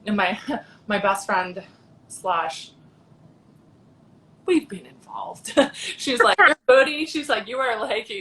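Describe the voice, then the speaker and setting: A woman's voice: a little high, lively intonation, slow, long pauses, bright, forceful tone. A woman hosting a casual live-stream interview, chatty and gushing, laughing often. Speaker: host.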